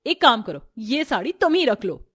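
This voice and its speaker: A woman voicing a haughty, sharp-tongued young city daughter-in-law in a family story, snapping scornfully and mixing in English words. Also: fairly high pitch, sing-song, moderate pace, husky, dry recording, studio quality, full character voice. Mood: scornful, bossy. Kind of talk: Hindi family storybook voice acting.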